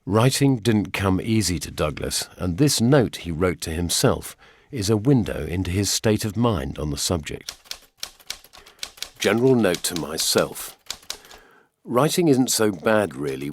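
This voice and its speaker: Deep-voiced older British documentary presenter. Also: male voice, slight background noise. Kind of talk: scripted narration.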